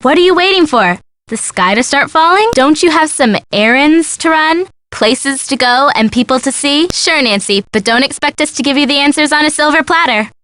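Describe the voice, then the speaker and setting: Young female sidekick, perky and teasing, very high voice, close dry game recording. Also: animated, normal speed, fairly bright tone, no echo. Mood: playful teasing. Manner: bubbly, teasing, playful. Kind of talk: video game voice acting.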